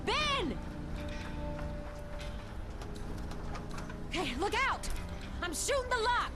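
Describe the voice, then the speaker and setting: Tough, animated young female agent over music. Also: extremely high pitch, measured pace, full character voice. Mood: alarmed, shouting. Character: tough, determined young female federal agent, street-smart and dry. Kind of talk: dramatic video game dialogue.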